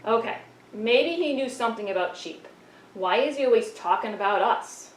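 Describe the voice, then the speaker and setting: A woman's voice: a little high, lively intonation, normal speed, roomy sound, a little hiss or hum, natural voice, poor audio. A woman voicing a touchy, defensive sheep puppet in a church puppet show, protesting in a huffy way and repeating her questions. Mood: indignant.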